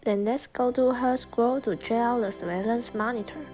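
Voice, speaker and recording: A woman's voice: fairly high pitch, steady tone, normal speed, a little mellow, natural voice. A young woman playing an earnest female investigator in a student English radio drama, with a Taiwanese accent. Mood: questioning. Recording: voice over background music.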